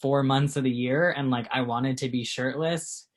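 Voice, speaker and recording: A man's voice: medium pitch, steady tone, normal speed, a little hiss or hum. A man in his late twenties from Texas, co-owner of a small clothing company, answering interview questions in a chatty, filler-heavy way. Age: 20s. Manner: chatty, lots of fillers, laughs easily.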